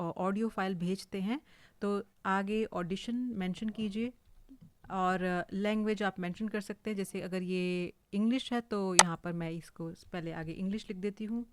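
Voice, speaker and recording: An Indian woman giving an informal, explanatory software tutorial, mixing English words into her speech. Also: mid-range voice. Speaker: voice-over artist giving a tutorial. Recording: clearly hissy home recording.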